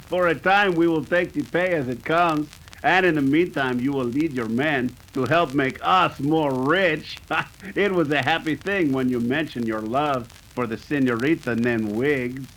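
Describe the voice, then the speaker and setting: Casting: gloating Mexican outlaw boss, Mexican accent, raised pitch, smug and formal, vintage radio western. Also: male voice, a little slow, a bit bright, poor audio. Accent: put-on Mexican. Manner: oily, gloating chuckles, formal phrasing. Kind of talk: 1930s western radio acting.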